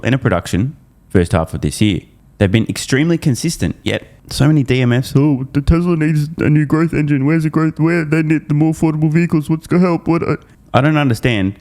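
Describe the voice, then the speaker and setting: Deep-voiced man giving emphatic news recap. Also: a little mellow. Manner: emphatic, opinionated, irreverent with slangy swearing. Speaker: host of an investor news channel. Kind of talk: scripted recap commentary with casual asides.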